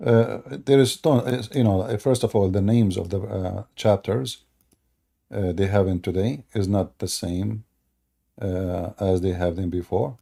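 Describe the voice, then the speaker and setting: Deep gravelly man. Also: fairly even intonation, measured pace, warm tone. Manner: emphatic, laughs often, restarts words. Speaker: host. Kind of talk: casual debate talk.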